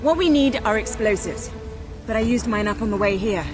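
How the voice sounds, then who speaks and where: A woman playing a bold, practical rebel leader in a video game battle, brisk and commanding. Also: on the high side, animated, lightly acted. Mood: businesslike, urgent. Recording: loud music and gunfire behind. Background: loud music, gunfire.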